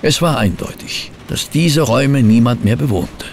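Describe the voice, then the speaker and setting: An actor playing an affable, slightly befuddled gentleman companion to a detective in a German audio drama. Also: mid-range voice, moderate pace, a little hiss or hum, lightly acted. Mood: measured narration. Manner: hesitant, bumbling, eager. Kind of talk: German audio drama acting with scripted narration.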